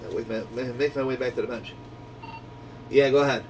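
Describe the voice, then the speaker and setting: A man with a mid-pitched voice chats casually as host of a radio group. He is folksy and easygoing, explaining as he goes. The recording is noisy and sounds set back from the microphone. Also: steady tone, brisk, a little mellow, recorded from a distance. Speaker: radio shop owner hosting a walkie-talkie group channel. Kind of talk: casual instructional chat over radio.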